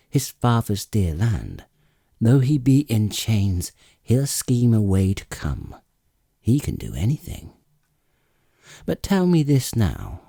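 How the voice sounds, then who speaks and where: A man's voice: on the low side, warm tone, no room sound, studio quality, full character voice. A man voicing a goddess disguised as a seafaring lord, assured and questioning, in a declamatory epic audiobook reading. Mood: confident, foretelling.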